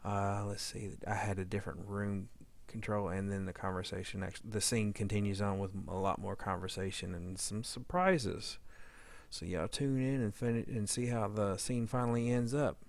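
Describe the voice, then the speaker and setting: A man giving a casual, unscripted editing demo as a sound editor, halting and rambling as he thinks aloud. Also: fairly low pitch, fairly even intonation, creaky. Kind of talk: casual unscripted software tutorial narration.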